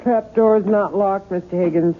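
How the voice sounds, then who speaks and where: A woman's voice: medium pitch, steady tone, normal speed, natural voice. An actress in an old-time radio drama playing a homely, firm, courteous mother. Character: plain-spoken mother, courteous and matter-of-fact. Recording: tinny, noisy old radio recording.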